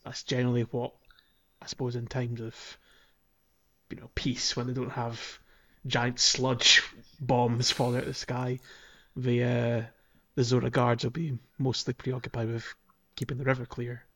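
A man's voice: mid-range voice, monotone, moderate pace, fairly bright tone, highs cut off. A man co-hosting a podcast, talking casually about a video game. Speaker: podcast co-host.

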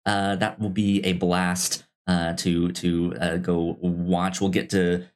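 A man's voice: low voice, flat delivery, slow pace. A man hosting a casual podcast, chatting with frequent stammers and repeated words. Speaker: podcast host. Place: indoor room, recorded in person.

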